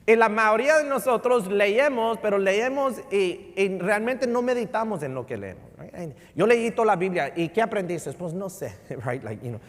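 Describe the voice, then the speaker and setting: A middle-aged pastor from Houston preaching a sermon, animated, with a Texan Spanish accent and English words mixed in. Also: male voice, high voice, moderate pace, hardly any pauses. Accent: Texan Spanish with English code-switching. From Houston, Texas. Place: church sanctuary. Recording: church sanctuary reverb.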